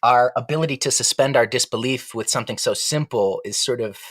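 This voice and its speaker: A man's voice: medium pitch, a little fast, fairly bright tone, no room sound. A man talking reflectively in a panel discussion, feeling his way through ideas in a philosophical manner. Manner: thoughtful, philosophical, searching phrasing.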